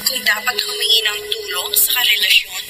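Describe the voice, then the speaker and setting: A woman's voice: mid-range voice, fairly even intonation, fairly quick, lightly acted. An actress playing a devoted, insistent young Filipina girlfriend, pleading tenderly in a radio drama with music underneath. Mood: pleading, hurt. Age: young adult.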